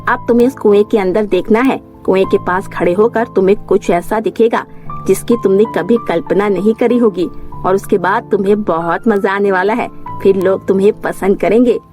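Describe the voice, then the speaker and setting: Woman as a mellow-voiced scheming young villainess. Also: mid-range voice, steady tone, normal speed, soft background music, close to an everyday voice. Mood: falsely sweet. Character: jealous, scheming young woman who feigns sweetness. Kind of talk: Hindi animated fairy tale voice acting.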